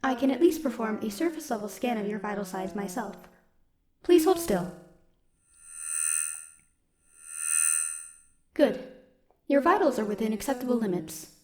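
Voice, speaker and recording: A woman playing a stoic, dutiful military robot in an audio roleplay, speaking in a formal, measured, protective way. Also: on the high side, animated, lightly acted. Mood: composed, caring. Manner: formal, measured, literal wording. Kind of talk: scripted audio roleplay, speaking softly to one listener.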